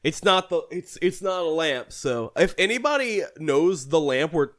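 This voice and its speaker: A man's voice: on the high side, animated, normal speed, fairly bright tone. A man co-hosting a podcast in casual, conversational chat. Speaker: podcast co-host.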